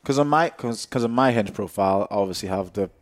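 A man's voice: fairly low pitch, fast. A young man hosting a podcast, chatting casually in a rambling, self-interrupting, joking way. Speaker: podcast host. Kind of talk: casual chat.

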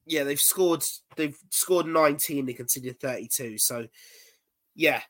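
A man's voice: on the high side, unhurried, a bit bright. A young man co-hosting a casual football podcast, rambling along and repeating words as he restarts his sentences. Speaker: podcast co-host.

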